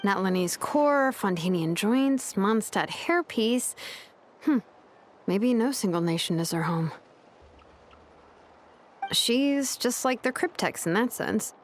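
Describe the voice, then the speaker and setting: A woman's voice: mid-range voice, lively intonation, takes it slowly, long pauses, a little hiss or hum. A woman playing a cheerful, curious young female inventor in a video game, speaking in a casual, inquisitive way. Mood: musing. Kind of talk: game voice acting. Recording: music clearly behind.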